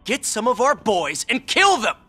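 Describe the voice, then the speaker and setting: Spoiled young crime boss in a game, bossy, swingy pitch, music under it. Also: male voice, wildly swooping pitch, moderate pace, sharp tone, slightly rough, heavily acted. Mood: furious. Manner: condescending, commanding, curt. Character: arrogant young male gang boss, spoiled heir. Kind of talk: video game cutscene acting.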